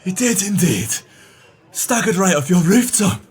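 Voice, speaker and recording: A man's voice: high-pitched, sing-song, measured pace. An actor playing a wry, businesslike working man with a crooked streak in an audio drama.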